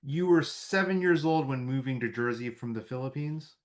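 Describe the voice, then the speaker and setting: A man hosting an interview, asking his guest calm, inviting questions. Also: medium pitch, moderate pace. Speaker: interviewer, host.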